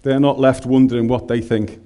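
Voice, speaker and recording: A man's voice: medium pitch, little pitch movement, normal speed, warm tone. A man with a Scouse accent preaching a sermon in a chatty, joking way, speaking into a microphone in a large room. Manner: humorous, conversational, colloquial.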